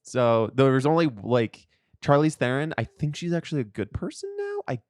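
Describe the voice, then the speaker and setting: A man with a mid-pitched voice chats casually as a podcast co-host. He rambles in slangy phrases and slips into imagined quotes, recorded dry with hardly any room sound. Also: wildly swooping pitch, normal speed, a little mellow, no echo. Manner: rambling, slangy, frequently acting out imagined dialogue. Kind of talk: casual pop culture chat.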